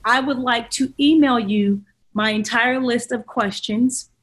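A woman's voice: fairly high pitch, measured pace, a bit bright. A woman hosting a podcast interview, speaking earnestly and a little ramblingly as she wraps up. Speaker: podcast host. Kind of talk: casual interview.

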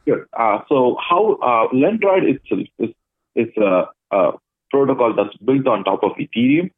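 Guest from India, hesitant and thoughtful, thin phone-line sound, dry. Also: male voice, medium pitch, animated, measured pace, breathy edge, no echo. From Chennai, India. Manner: thoughtful, hesitant with frequent uhs. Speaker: guest, blockchain project lead. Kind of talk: interview answers.

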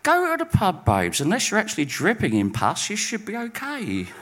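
A man's voice: high-pitched, wildly swooping pitch, moderate pace, fairly bright tone, slight background noise, pitched above the performer's own voice, exaggerated voice. A man voicing a breezy young woman giving a friend advice over the phone.

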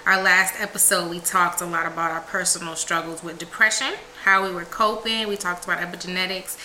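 A woman's voice: fairly low pitch, fairly even intonation, a little fast, sharp tone, echoey room, slight background noise, poor audio. A woman in her twenties from South Carolina, hosting a podcast in a casual, upbeat, encouraging way. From South Carolina, USA. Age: 20s. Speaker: podcast host.

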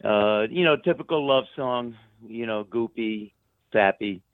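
A man's voice: medium pitch, a little slow, no echo. A man from the United States chatting casually as a musician guest on a remote line, informal, rambling and hesitant. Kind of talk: casual interview chat. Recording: narrow-band phone guest line.

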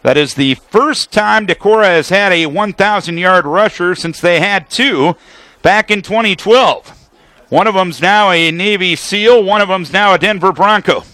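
Man, American accent, football radio announcer, high forceful voice, detailed running call. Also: a little slow, no echo. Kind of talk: live American football commentary.

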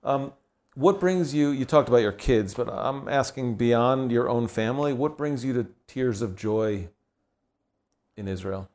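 A man's voice: mid-range voice, darkish tone. Easygoing male interview host. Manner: easygoing, quick affirmations, laughs along. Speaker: podcast host. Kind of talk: casual conversational interview.

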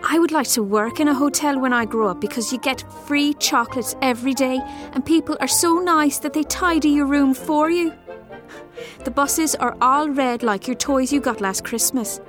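Little schoolgirl played by a woman, frank and childlike, high voice, audiobook letters. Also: normal speed, faint music behind, natural voice. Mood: chatty, excited. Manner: childlike, plain short sentences. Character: outspoken little schoolgirl writing frank notes to friend.

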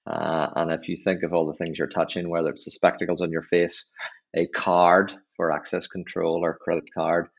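A middle-aged Northern Irish man with a very low, creaky voice answers interview questions. He explains himself in a measured, conversational way, and the audio is a little dull and lo-fi. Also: flat delivery. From Northern Ireland. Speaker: chief executive, podcast guest.

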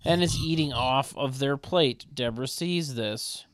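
A man narrating a comedy table read in a deadpan way, with mocking sardonic asides. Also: mid-range voice, measured pace, dry recording. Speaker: narrator reading stage directions.

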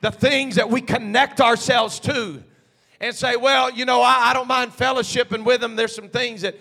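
A male pastor preaching a sermon, swinging from hushed asides to shouted climaxes. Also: very high voice, fairly even intonation, normal speed, creaky.

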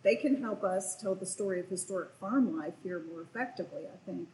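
A woman's voice: lowish voice, a little fast, darkish tone, reverberant, slight background noise, rough recording. A woman, a historic site manager, answering interview questions in an informative, matter-of-fact way.

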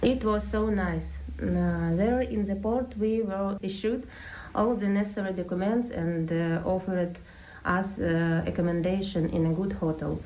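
A woman with a mellow, warm tone speaks earnestly, pausing often with fillers. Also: mid-range voice, low-quality recording. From Ukraine. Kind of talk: interview testimony.

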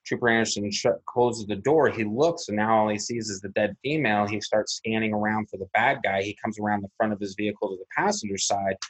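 A man with a husky voice, an interview guest recounting events methodically, step by step, with frequent pauses. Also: fairly low pitch, poor audio. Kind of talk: recounting a personal incident in an interview.

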